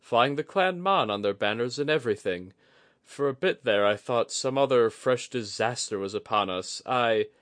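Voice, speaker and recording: A man voicing a composed, shrewd young commander in a fantasy audiobook, measured, courteous and dryly humorous. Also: medium pitch, a bit bright, no echo, studio quality, voice pushed up, natural voice. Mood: relieved. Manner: measured, well-spoken, dryly amused. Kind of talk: audiobook fantasy character acting.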